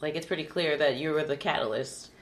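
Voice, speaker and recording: Hoarse woman hosting an enthusiastic podcast. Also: low-pitched, a little fast. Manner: enthusiastic, effusive. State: hoarse, losing her voice from illness. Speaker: podcast host. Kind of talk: casual interview chat.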